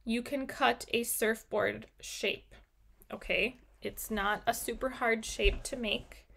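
Young woman, craft teacher, chatty step-by-step delivery, slow pace, slight vocal fry. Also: a little high, steady tone, fairly bright tone. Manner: chatty, talks viewers through each step. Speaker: card-making class teacher. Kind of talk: live crafting class demonstration. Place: indoor craft desk. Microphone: overhead camera on desk, mic off screen.

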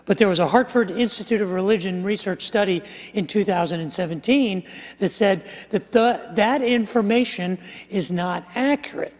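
An older man preaching a sermon, walking through statistics with animated gestures and wry asides, on a headset mic on a large church stage. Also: sits high. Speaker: pastor. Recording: live sermon with long hall reverb.

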